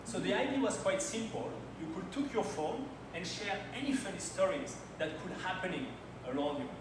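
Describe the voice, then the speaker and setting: A man's voice: sits high, animated, normal speed. A man in his thirties from France, speaking English as a second language and telling personal stories in a timed slide talk at a launch party. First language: French. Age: 30s. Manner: storytelling, personal anecdotes, non-native phrasing. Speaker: conference speaker. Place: event hall at a launch party. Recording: very echoey hall, distant mic, noisy.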